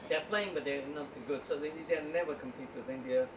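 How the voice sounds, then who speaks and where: A man's voice: on the high side, very animated, a little fast. A man, a statistician, gives an informal talk to a book club and often stumbles and repeats his words. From Uganda. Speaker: guest speaker, statistician. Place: indoor meeting room at a book club. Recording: roomy, distant room recording with background noise.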